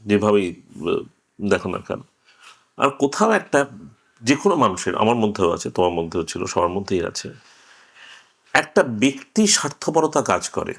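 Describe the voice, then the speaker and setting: A man interviewing someone, making his argument conversationally and haltingly, in short fragments. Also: fairly low pitch, big pitch swings, gravelly, husky.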